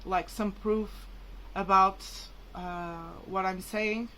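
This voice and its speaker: Slow-paced woman, native Portuguese speaker, rambling. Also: medium pitch, fairly even intonation, fairly bright tone, a little hiss or hum, poor audio. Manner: hesitant, rambling, informal. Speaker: vlogger. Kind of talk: casual rambling vlog to viewers.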